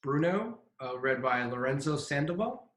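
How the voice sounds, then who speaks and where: Bright-voiced man in an echoey room. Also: a little high, expressive, normal speed. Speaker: festival director introducing a cast. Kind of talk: casual scripted introduction. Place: indoors on a web call. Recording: roomy, echoey web call.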